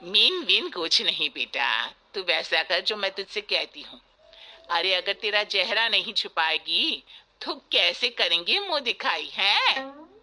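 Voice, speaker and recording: Woman acting a bossy, bright-voiced village mother-in-law. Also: mid-range voice, big pitch swings, measured pace, heavily acted. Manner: folksy, emphatic, chiding. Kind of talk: Hindi moral story cartoon voice acting. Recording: music bed behind the voice.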